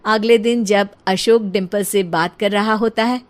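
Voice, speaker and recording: A woman narrating a cartoon story in a lively storytelling manner. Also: medium pitch, measured pace.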